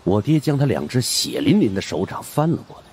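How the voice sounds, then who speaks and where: A man's voice: medium pitch, big pitch swings, brisk, darkish tone, a little hiss or hum. A man narrating a horror story in a suspenseful, theatrical way. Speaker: audiobook narrator.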